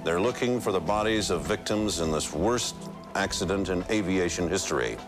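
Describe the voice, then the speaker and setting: A man reads an old television news bulletin in a formal broadcast manner. His voice is deep, with music under the clip. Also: normal speed. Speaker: news anchor. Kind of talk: archival TV news report.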